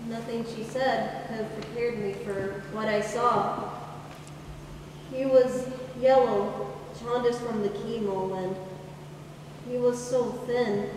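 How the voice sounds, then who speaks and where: A woman's voice: fairly high pitch, steady tone, slow, pauses often. A young woman playing a grown daughter wistfully recalling her father in a stage monologue. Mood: tender, sombre. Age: teenager. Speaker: high school drama performer. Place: large indoor hall at a school play. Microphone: distant camera. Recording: echoey hall from far off, faint noise.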